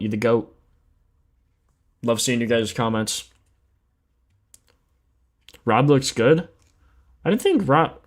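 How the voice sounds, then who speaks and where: A young man hosting a sports betting livestream, chatting casually in slangy, informal language and thinking aloud as he goes. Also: mid-range voice. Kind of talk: casual livestream chat and commentary.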